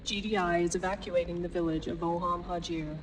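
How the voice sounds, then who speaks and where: A woman's voice: on the low side, fairly even intonation, a little fast, far from the mic, background noise, natural voice. A woman voicing an impersonal military computer announcer in a video game, reading orders and propaganda formally, in clipped phrases. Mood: clinical. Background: music.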